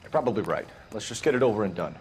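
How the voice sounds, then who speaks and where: An actor playing an eager, dutiful patrol officer with an American accent, crisp and earnest. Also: male voice, lowish voice, lively intonation, racing, a little hiss or hum, lightly acted.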